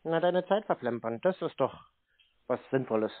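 A man's voice: mid-range voice, expressive, moderate pace, no echo. Male, German accent, mid-range voice, casually dismissive and blunt, heard through a thin phone line. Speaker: scam baiter answering calls. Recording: muffled narrowband phone line.

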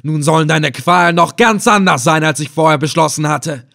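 A man voicing a furious, cruel slave-hunter chieftain in an audiobook adventure, loud, commanding and menacing. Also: on the high side, animated, moderate pace, slightly rough, pitched above the performer's own voice. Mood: enraged, commanding. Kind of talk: dramatized audiobook adventure reading.

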